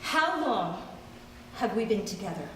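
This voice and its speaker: An actress playing a lonely, wounded middle-aged wife, plaintive and emphatic, in a live stage play. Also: female voice, mid-range voice, big pitch swings, a little slow. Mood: exasperated. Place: stage of a theater, live performance. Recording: distant, echoey hall, faint noise.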